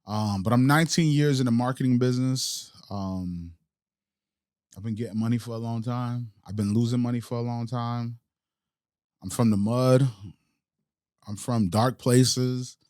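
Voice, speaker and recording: A man's voice: medium pitch, unhurried, long pauses, darkish tone, no echo, clean studio sound. Reflective man in casual talk. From Haiti. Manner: reflective, street slang, many restarts. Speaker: podcast guest, entrepreneur. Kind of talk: casual interview chat.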